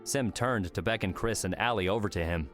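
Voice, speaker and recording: Male narrator, scripted novel reading, fairly low register, light music bed. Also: brisk. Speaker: audiobook narrator. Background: soft music.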